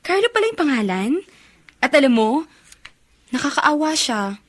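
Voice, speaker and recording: Sweet, high-voiced smitten college girl. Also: big pitch swings, moderate pace. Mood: excited, teasing. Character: cheerful, smitten female college student, sweet and earnest. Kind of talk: Filipino radio drama acting.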